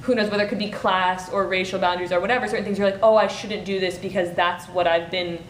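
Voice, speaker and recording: A woman's voice: fairly even intonation, fast. A young woman from Michigan in a casual interview, talking through her play in a chatty way full of "like" and "um". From Michigan, United States. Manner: chatty, full of "like" and "um", thinking aloud. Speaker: playwright and director. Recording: roomy echo, faint rumble.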